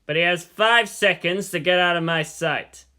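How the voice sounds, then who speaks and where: A weary older government agent played by a man reading a story. He speaks deliberately and conversationally, with a sharp, forceful edge. His menace stays restrained. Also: high-pitched, smooth.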